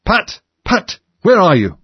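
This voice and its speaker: A man's voice: on the high side, huge pitch swings, fairly quick, slightly rough, dry recording, highs cut off, pitched above the performer's own voice, full character voice. A man voicing a bossy, irritable male rabbit master from a storybook, angry, impatient and commanding. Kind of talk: storybook character voice.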